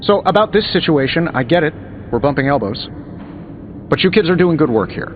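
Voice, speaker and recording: An actor playing a wisecracking, easygoing wealthy superhero inventor in a video game, talking in a glib, wry, conversational way. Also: male voice, fairly high pitch, very animated, fairly quick, narrow, tinny audio, a little hiss or hum. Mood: conciliatory. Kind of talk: video game dialogue acting.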